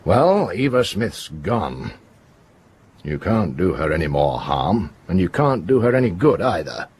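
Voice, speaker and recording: A stern police inspector in a radio play. The voice is very low and swings widely in pitch, with a deliberate, commanding delivery that moralises like a judge. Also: male voice, very animated, darkish tone, slightly rough, exaggerated voice. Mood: grave warning. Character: stern, righteous middle-aged male police inspector. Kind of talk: radio drama acting.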